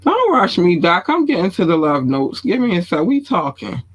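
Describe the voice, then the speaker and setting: A man's voice: high-pitched, huge pitch swings, moderate pace, a bit bright, husky. A man with a Baltimore accent hosting a podcast in casual, blunt talk with some swearing, on a lo-fi recording. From born in North Carolina, raised in Baltimore. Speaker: podcast host. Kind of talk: casual chat. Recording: dull lo-fi sound.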